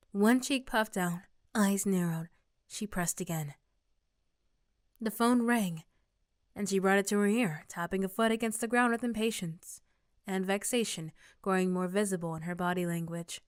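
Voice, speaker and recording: A woman's voice: medium pitch, dry recording, studio quality. A woman reading scripted second-person story narration for an ASMR roleplay.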